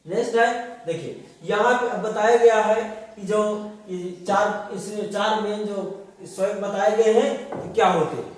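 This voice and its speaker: A man's voice: sits high, fairly even intonation, unhurried. A man teaching a class, explaining step by step and asking and answering his own questions. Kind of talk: classroom lecture. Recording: distant, very echoey room.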